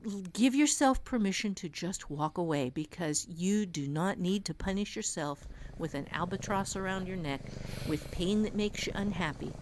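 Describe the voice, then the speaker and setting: A woman co-hosting a podcast, giving casual advice in a deliberate, emphatic way. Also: lowish voice, expressive, noisy background. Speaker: podcast co-host and writing teacher.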